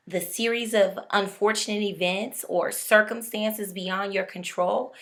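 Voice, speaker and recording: A woman's voice: medium pitch, a bit bright. A young woman giving an emphatic, preachy motivational talk straight to her viewers. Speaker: motivational speaker.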